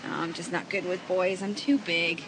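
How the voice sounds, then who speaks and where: A woman's voice: lowish voice, steady tone, normal speed, noisy background, close to an everyday voice. An actress playing a romantic, self-deprecating, giggly teenage girl in a dramatised audiobook. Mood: wistful. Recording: crowd chatter behind.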